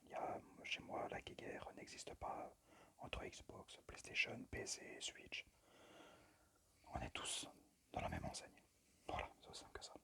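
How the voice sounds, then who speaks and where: A man speaking in a breathy, unvoiced whisper into a phone microphone, presenting informally in ASMR style with a hesitant, unpractised manner. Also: a little slow, pushed and bright. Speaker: amateur ASMR creator and game collector. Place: at a desk indoors.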